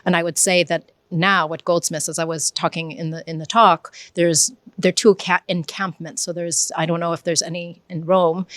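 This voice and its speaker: A woman's voice: fairly low pitch, a bit bright. A woman professor in her forties with an American accent, answering interview questions thoughtfully in a lecture hall, speaking into a handheld mic. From Israel and United States. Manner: thoughtful, earnest, with restarts. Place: large university lecture hall, indoors. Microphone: handheld mic held near mouth.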